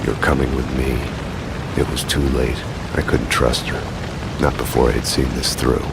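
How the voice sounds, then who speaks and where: An actor playing a world-weary, fatalistic male detective who narrates a noir game in a grave, terse, brooding monologue. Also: very deep voice. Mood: bitter, resigned. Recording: loud music bed behind.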